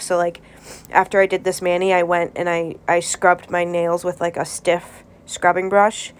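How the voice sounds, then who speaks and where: A woman vlogger with a stuffed-up nose from a cold, giving a casual nail tutorial at home with lots of ums and restarts. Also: on the low side. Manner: hesitant, many ums and restarts.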